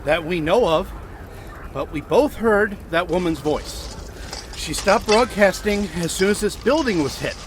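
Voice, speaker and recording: A curious, level-headed everyman played by a man in a sci-fi radio play. The voice is high for a male and lively, questioning and reasoning. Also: unhurried, background noise. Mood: puzzled. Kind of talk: sci-fi audio drama acting.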